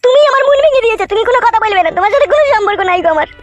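A woman's voice: extremely high pitch, lively intonation, rapid-fire, dull audio. A woman voicing a jealous, heartbroken cartoon wife who nags and accuses sulkily. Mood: hurt, accusing. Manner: sulky, nagging, accusing. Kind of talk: Bengali comedy cartoon dubbing.

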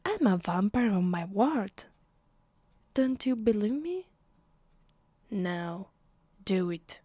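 A woman with an Italian accent voicing a haughty, sadistic vampire mistress in a close-miked ASMR roleplay, soft and breathy, often dropping to a whisper, commanding and deliberate. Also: mid-range voice, big pitch swings, no room sound, heavily acted. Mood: teasing, commanding. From Italy. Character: haughty, sadistic female vampire mistress savoring her power. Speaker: voice actress. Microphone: close microphone.

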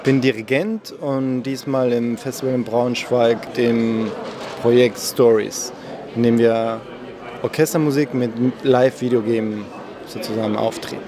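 A man in his forties, originally from Argentina, a conductor answering interview questions in a calm, measured way. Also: mid-range voice, a crowd in the background. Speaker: conductor, festival guest. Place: indoor room at a festival. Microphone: handheld mic held by interviewer.

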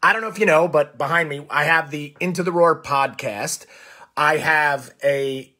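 A man in his forties with a raspy, husky voice, hoarse from a full day of coaching. He talks into a close phone mic in a small room, fired up and animated. His voice sits a touch high for a man. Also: normal speed. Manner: fired-up, animated, gestures a lot. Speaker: coach and show host. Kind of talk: energetic Facebook Live monologue. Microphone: phone close to the face.

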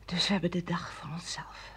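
A woman playing a gentle, probing wife in a Dutch radio play, soft and tentative. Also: lowish voice, level tone, normal speed, breathy edge, in a whisper. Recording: noisy old radio play recording.

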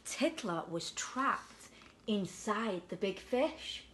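A woman in her forties reads a picture book to children in an animated, deliberate way, leaning on the rhyming words. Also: mid-range voice, slight background noise. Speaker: deputy headteacher reading a children's story. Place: indoors at home on a sofa.

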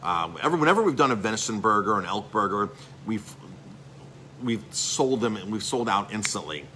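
A middle-aged man runs through his restaurant's news in a chatty, salesy way, with plenty of ums and restarts. His voice sits a little low but comes across bright and forceful. A faint hum of noise stays in the background. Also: expressive, a little fast. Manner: chatty, salesy, filler-heavy rambling. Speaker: chef and restaurant owner. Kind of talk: casual live-stream update.

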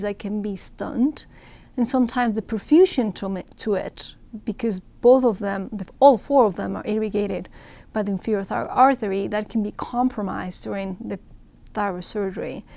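Creaky-voiced woman from Ecuador explaining. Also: medium pitch, darkish tone. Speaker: interviewed surgeon. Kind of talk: explanatory medical interview. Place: indoor event room with banner backdrop.

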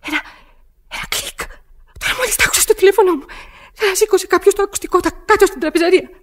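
An actress playing a frantic, breathless sick woman alone at home, pleading hysterically in a radio drama. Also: extremely high pitch. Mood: anxious, alarmed.

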